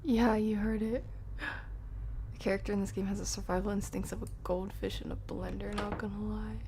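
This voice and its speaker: A woman's voice: medium pitch, flat delivery, fairly quick, noisy background. A woman doing casual, chatty live commentary as she streams a horror game, reacting and often muttering to herself.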